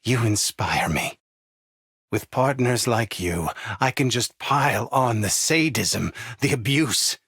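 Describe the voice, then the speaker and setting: An actor playing a menacing, sadistic game villain who speaks in a deliberate, seductive way. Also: male voice, medium pitch, expressive, creaky, fairly bright tone, husky, dry recording, clean studio sound, heavily acted. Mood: seductive contempt. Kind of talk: video game voice lines.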